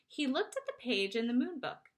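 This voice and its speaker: A woman narrating a children's chapter book aloud in an expressive storytelling style. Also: high-pitched, normal speed. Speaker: storybook reader.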